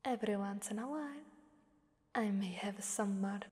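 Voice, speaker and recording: A woman's voice: medium pitch, moderate pace, darkish tone, lightly acted. A woman voicing a seductive, taunting Krampus demoness in an ASMR roleplay, half-whispering with playful menace. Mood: teasing. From Italy. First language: Italian. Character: seductive, taunting female Krampus demon ruling a fighting arena. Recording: long cavernous reverb.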